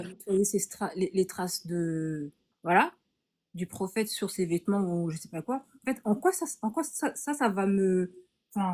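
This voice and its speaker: A woman's voice: medium pitch, darkish tone. A woman, a guest in a casual chat, speaking indignantly and with some hesitation.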